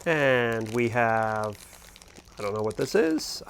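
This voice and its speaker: A man's voice: mid-range voice, animated, slow, creaky, a bit bright, slight background noise. A man hosting a boating gear review, talking casually and off the cuff as he thinks aloud. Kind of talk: casual unboxing and review talk, unscripted.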